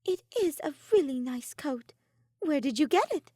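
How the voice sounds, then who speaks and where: Woman voicing a bright, high-pitched, effusive young mage. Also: expressive, moderate pace, no room sound, clean studio sound. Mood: curious, admiring.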